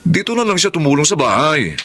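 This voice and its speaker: A man's voice: fairly high pitch, big pitch swings, a little fast, a bit bright. A man playing a blunt, scornful grown son and uncle in a Filipino radio drama, emphatic, with a Filipino accent. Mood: dismissive. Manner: dismissive, emphatic.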